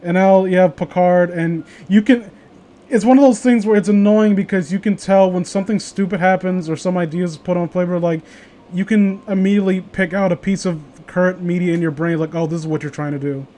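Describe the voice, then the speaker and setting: A man's voice: high-pitched, fairly even intonation, a little fast, warm tone. A man chatting casually as a co-host, rambling and swearing offhandedly. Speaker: co-host of an online read-along chat. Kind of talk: casual chat.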